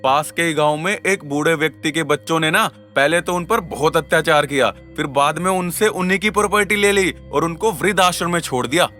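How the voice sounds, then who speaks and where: A man's voice: fairly high pitch, brisk, few pauses, pushed and bright, faint music behind. A man playing a lovelorn, grumbling village secretary in a comic cartoon, chatty and theatrical. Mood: animated.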